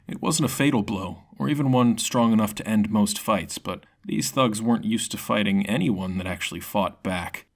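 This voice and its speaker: A man's voice: fairly low pitch, normal speed, gravelly, few pauses. A man narrating a scripted fantasy story in a dramatic storytelling manner. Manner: dramatic storytelling with character voices.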